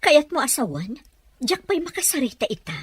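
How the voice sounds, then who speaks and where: A woman playing an anxious, longing mother with a husky voice in an Ilocano radio drama. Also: sits high, big pitch swings, moderate pace, no echo. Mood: anxious, insistent.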